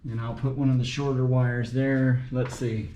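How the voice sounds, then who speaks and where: A man's voice: mid-range voice, level tone, normal speed, warm tone. Man muttering to himself in a roomy echo. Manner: muttering, thinking aloud in short fragments. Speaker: car mechanic making a repair video. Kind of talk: unscripted talking to himself while working on an engine. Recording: roomy echo, light background noise.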